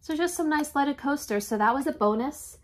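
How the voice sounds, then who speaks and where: Chatty congested woman, slightly high voice. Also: moderate pace, slight background noise. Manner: chatty, enthusiastic, frequent throat clearing. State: raspy and congested from allergies. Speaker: reseller vlogger. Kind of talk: casual shop-with-me haul chat. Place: home craft room with shelves.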